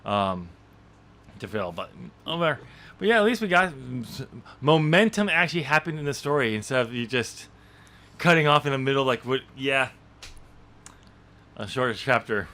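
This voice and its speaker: Guy hosting a podcast, bright tense voice, chatty and laughing, lots of uh and um. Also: mid-range voice, expressive, normal speed, pauses often. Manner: chatty, laughs often, rambling with many fillers. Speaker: podcast host and author. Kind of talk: casual chat about his novel draft.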